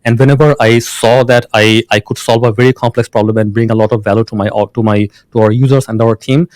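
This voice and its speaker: A man's voice: medium pitch, steady tone, fast, darkish tone, dry recording, rough recording. A man from Bangladesh, a founder being interviewed, who restarts often and uses many fillers. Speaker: founder and guest. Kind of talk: casual interview talk.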